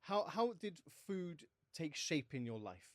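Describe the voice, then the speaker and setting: A man's voice: on the high side, very animated, measured pace, no echo, clean studio sound. A man hosting a podcast interview, asking questions in a casual, conversational way.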